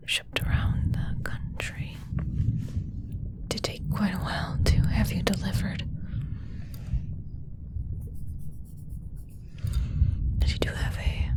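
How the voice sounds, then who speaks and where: A woman playing an evil witch shopkeeper in an ASMR roleplay, speaking in an unhurried, breathy whisper with a matter-of-fact cruelty. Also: medium pitch, lightly acted. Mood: musing, mildly critical. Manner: unhurried, softly musing.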